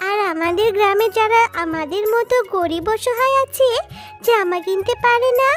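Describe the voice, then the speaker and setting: A woman's voice: extremely high pitch, normal speed, a bit bright, faint music behind. A woman voicing a sweet, coaxing little village girl in a children's cartoon, in a childlike manner. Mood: excited, eager.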